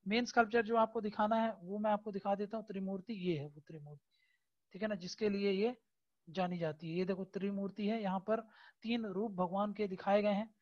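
A man teaching an exam-prep lesson over a screen recording, explaining slowly with many hesitation fillers and constant checks that his students understand. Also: high voice, steady tone, dull audio. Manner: keeps checking understanding with tag questions.

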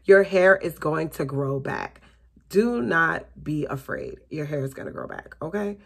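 Woman, late thirties, Southern US accent, gravelly, chatty vlog, bedroom, close camera. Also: low-pitched, unhurried. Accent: Southern US (Florida). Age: late 30s. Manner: chatty, rambling, laughs often, addresses viewers as you guys. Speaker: beauty vlogger. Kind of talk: casual chatty get-ready-with-me vlog. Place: small bedroom, indoors. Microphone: camera in front at close range.

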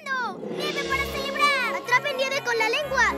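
Very high, excitable child cartoon character, theatrical and exclamatory, music behind. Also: moderate pace, very echoey, distant mic. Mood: excited. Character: young child heroes and moon villain, excitable. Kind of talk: Spanish-dubbed children's cartoon acting.

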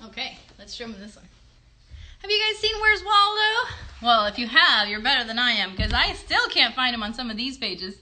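A woman in her thirties chats as host of a children's livestream. Her voice is high and bright, with lively swings in pitch. She speaks encouragingly, as if to young children. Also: moderate pace. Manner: animated, encouraging, child-directed. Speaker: host, puppeteer. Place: bedroom. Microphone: phone at arm's length.

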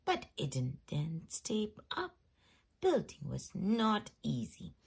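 A woman host reading a children's picture book aloud in an expressive storytelling manner. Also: medium pitch, creaky, slightly rough. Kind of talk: scripted storybook read-aloud.

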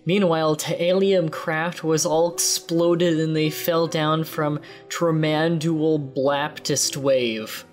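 A man hosting and reading a story aloud in an exaggerated, deliberate dramatic style. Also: on the high side, steady tone, soft background music. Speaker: host and reader. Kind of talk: theatrical dramatic reading of fan fiction.

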